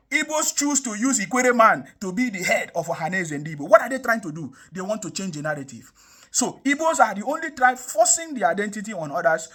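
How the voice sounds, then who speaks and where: A man's voice: sits high, fairly bright tone. A young Nigerian man from the South South giving impassioned political commentary, emphatic and repetitive, with frequent rhetorical questions. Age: young adult. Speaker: online commentator.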